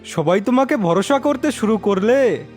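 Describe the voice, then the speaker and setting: A man voicing a bossy, gloating villain in a cartoon fairy tale, with a drawn-out commanding delivery. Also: high-pitched, animated, darkish tone, soft background music. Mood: sly, commanding.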